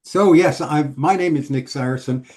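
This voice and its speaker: A man's voice: a little high, darkish tone. Middle-aged Swiss-born man explaining. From Switzerland. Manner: measured, explanatory, listing points. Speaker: guest, author. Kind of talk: casual interview.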